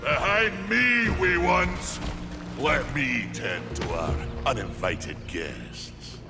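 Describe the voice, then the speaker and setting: An actor playing a huge, gruff, honourable blacksmith giant in a video game. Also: male voice, animated, extremely slow, full character voice. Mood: commanding. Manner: gruff, hearty, weighty. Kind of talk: video game cutscene acting. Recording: long booming reverb, music behind.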